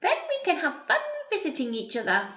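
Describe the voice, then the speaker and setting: Woman voicing an eager, high-pitched little pig. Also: a little fast, roomy sound, muffled recording, full character voice. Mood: eager, excited. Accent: British. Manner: excitable, bright childlike exclaiming. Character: eager young little pigs from a storybook.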